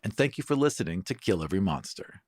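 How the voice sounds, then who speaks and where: A man's voice: deep voice, sing-song, fast, a little mellow, husky, dry recording, clean studio sound. A man speaking as a podcast host and game master, delivering a scripted introduction. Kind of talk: scripted show introduction and promo.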